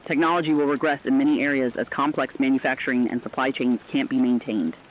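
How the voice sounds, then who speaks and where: A man playing a solemn radio commentator who speaks to survivors in an earnest, reflective, deliberate scripted style. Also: mid-range voice, steady tone, dull audio, natural voice. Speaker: radio co-host.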